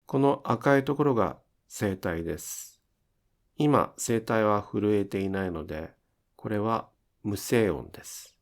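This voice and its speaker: A man's voice: lowish voice, slow. A man giving scripted instructional narration as a pronunciation teacher, enunciating carefully step by step.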